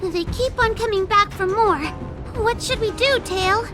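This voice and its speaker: A woman's voice: very high-pitched, unhurried. A woman voicing a small, anxious, curious childlike companion in a video game. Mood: worried. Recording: loud music behind.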